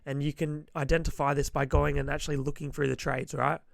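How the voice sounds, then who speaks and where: Slightly hoarse man giving blunt trading advice. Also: fairly high pitch, level tone, a little fast, no room sound, studio quality. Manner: direct, emphatic, repeats key points; frequent throat clearing. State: slightly hoarse from being sick. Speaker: forex trader giving advice. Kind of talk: motivational trading-psychology talk to camera.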